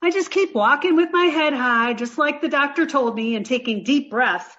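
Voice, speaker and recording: Woman playing a high-voiced, sweetly dim young woman. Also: moderate pace, a bit bright, highs cut off. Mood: flustered. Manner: naive, scatterbrained, gushing, takes everything literally. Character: dim-witted, sweet, chatty young woman, earnest and literal. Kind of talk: comic old-time radio play acting.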